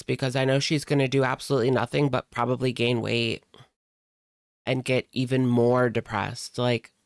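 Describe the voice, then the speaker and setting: A woman with a gravelly, fry-heavy voice talks through casual reaction commentary. She is sassy and slangy, and the sound is dry and close, with hardly any room on it. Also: very deep voice, no room sound. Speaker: reaction channel host.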